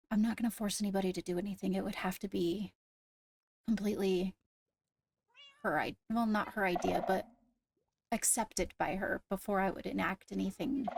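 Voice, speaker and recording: A woman improvises in-character dialogue for a roleplay game. Her voice sits at a middle pitch with a noticeable fry, and she words things carefully and diplomatically. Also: fairly even intonation, normal speed, long pauses, a little mellow, a little hiss or hum. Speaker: roleplayer playing a court leader.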